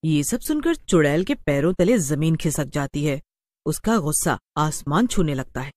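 A woman reading scripted story narration for an animated tale. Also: low-pitched, normal speed, no echo.

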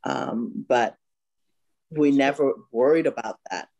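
A woman's voice: rumbling low, slow, warm tone, muffled recording. A woman in her fifties, born in Vietnam, talking as an interview guest over a video call, with many "you know" fillers. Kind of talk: casual interview chat. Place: home room by a bright window.

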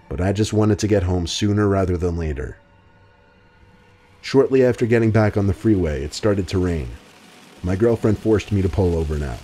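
A man narrating scripted true horror stories in a steady, even way. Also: low-pitched. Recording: faint music under the voice.

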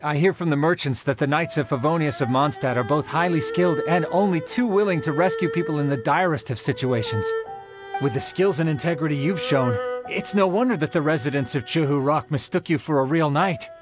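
Actor as high-voiced, courteous male soldier. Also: fairly quick, over loud music, close to an everyday voice. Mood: respectful, resolute. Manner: formal, earnest, courteous. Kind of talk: anime-style video game voice acting.

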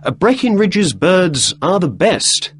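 A man's voice: high-pitched, sing-song, takes it slowly, voice pushed up, heavily acted. A man doing a keen, courteous detective. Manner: courteous, probing questions. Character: keen, polite male detective, adult.

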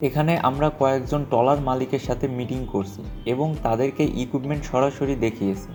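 Higher-voiced Bangladeshi man narrating over music. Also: fairly even intonation, fairly quick. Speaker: travel vlogger and marine equipment sales representative. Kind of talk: scripted travel vlog narration.

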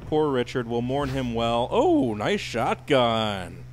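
A man's voice: medium pitch, sing-song, slow, slight background noise. A man co-hosting a game playthrough, teasing his friend and telling him where to go. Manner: bossy, teasing, gives directions. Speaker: let's play co-host guiding the player. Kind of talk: casual gameplay chat.

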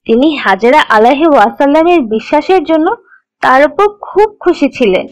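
High-pitched, expressive woman storyteller. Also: moderate pace, lo-fi sound. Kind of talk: scripted narration of children's religious cartoon stories.

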